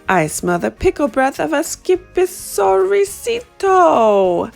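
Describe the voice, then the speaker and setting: A woman voicing a loud, pompous male dinosaur king in a read-aloud children's storybook, roaring and shrieking his lines. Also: sits high, sing-song, slow, gravelly, soft background music, pitched above the performer's own voice, full character voice. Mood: bellowing.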